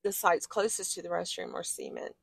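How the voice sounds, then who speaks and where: A woman's voice: fairly low pitch, wildly swooping pitch, brisk, gravelly, breathy edge, no room sound, clean studio sound. A woman chatting casually and appreciatively as she gives a campground tour as a travel vlogger, folksy and colloquial. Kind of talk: casual chat with a partner.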